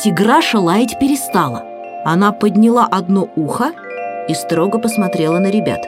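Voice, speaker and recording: A woman's voice: mid-range voice, lively intonation, normal speed. Female storyteller for a children's audio play, scripted narration with music underneath.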